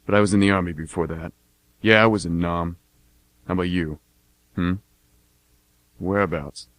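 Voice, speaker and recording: A man's voice: low voice, steady tone, normal speed, a bit bright, no room sound, low-quality recording. A man playing a laconic, slangy army veteran chatting as a cab passenger in an amateur radio drama. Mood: wistful, guarded. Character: gruff male army veteran cab passenger, guardedly chummy.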